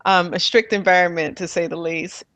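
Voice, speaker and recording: A woman from Miami tells her life story in an interview, taking her time with each thought. Her voice sits a little low, and she pauses often and laughs lightly between thoughts. The sound is dry and close, with no room around it. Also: no room sound. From Miami, USA. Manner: soft-spoken, thoughtful, with pauses and fillers. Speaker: interviewee, spiritual healer. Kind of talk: reflective personal interview.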